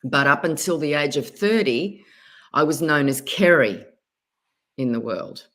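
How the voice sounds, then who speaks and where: A woman with an Australian accent speaks as an interview guest, her voice sitting notably low. She tells her story in a hesitant way, often pausing and restarting her words. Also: expressive. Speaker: guest, spiritual advisor.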